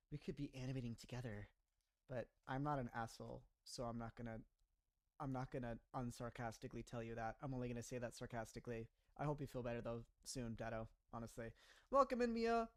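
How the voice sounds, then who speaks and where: A man's voice: mid-range voice, no room sound, studio quality. A man in his mid-twenties, a streamer chatting casually and rambling with frequent laughs while reading his chat. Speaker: streamer and animator.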